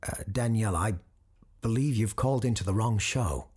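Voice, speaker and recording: A woman playing a grave supernatural radio host and storyteller, speaking in a measured, solemn, deliberate way in a scripted audio drama. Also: extremely low pitch, darkish tone, breathy edge. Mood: composed, formal. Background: music under the voice.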